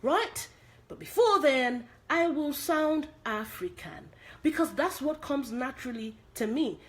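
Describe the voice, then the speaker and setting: A woman with a Nigerian accent coaches actors in an online lesson. Her voice sits fairly high, and she drives each point home by repeating it and asking the audience direct questions. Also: animated, unhurried. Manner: emphatic, repeats key points, asks rhetorical questions. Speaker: acting coach. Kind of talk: online acting lesson to viewers.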